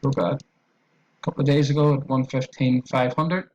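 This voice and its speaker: A man's voice: mid-range voice, fairly even intonation, moderate pace, a little mellow, highs cut off. A man with an Irish accent talking through a trading tutorial on screen, in a loose, repetitive way with fillers and restarts. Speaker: trading educator.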